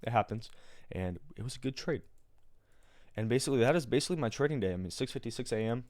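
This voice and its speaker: An American man with a raspy morning voice, explaining things step by step in an informal, slangy livestream tutorial style. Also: mid-range voice, lively intonation, fast talker, darkish tone, no echo, clean studio sound. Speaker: day trader and livestream host. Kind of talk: casual live trading tutorial.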